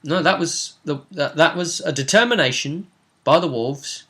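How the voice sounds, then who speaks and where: Bright-voiced man explaining a game session. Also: a little high, moderate pace. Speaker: game master recapping a tabletop role-playing session. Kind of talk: casual recap discussion.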